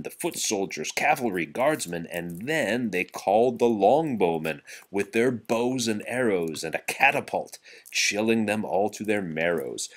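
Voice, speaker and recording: A man reading a rhyming children's story aloud, with theatrical, rhythmic phrasing. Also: fairly low pitch. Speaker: storybook reader. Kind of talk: expressive children's read-aloud of rhyming verse.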